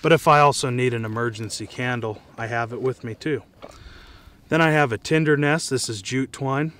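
A man's voice: medium pitch, moderate pace, fairly bright tone. An American man casually demonstrating and reviewing gear to camera, speaking outdoors. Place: outdoors in dry scrubland.

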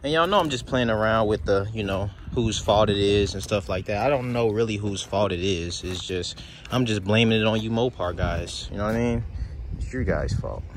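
A man's voice: fairly low pitch, normal speed. Teasing man filming a car lot. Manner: teasing, chatty, addresses viewers as y'all. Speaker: car flipping vlogger. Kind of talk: casual walkaround commentary. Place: outdoor car auction lot. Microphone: handheld phone camera. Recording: phone video with outdoor noise.

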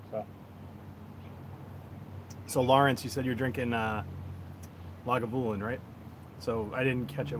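A laid-back man in his thirties hosts a casual livestream from a backyard deck. He rambles along in a mid-pitched voice, picked up by a phone with clear outdoor noise around him. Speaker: whiskey reviewer, livestream host. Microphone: cell phone on a tripod. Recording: noisy outdoor phone recording.

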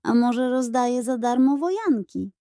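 A woman voicing an eager, excited little boy, a younger brother, in a children's audiobook with breathless, wide-eyed enthusiasm. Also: fairly high pitch, measured pace, dry recording, clean studio sound. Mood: excited curiosity.

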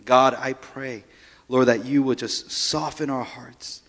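A man in his thirties with a loud, mid-pitched voice starts his sermon on a handheld microphone, casual and jokey. The sound carries a long reverb from the sanctuary. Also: steady tone, takes it slowly. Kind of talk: sermon opening and scripture reading. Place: church sanctuary stage. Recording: long church hall reverb.

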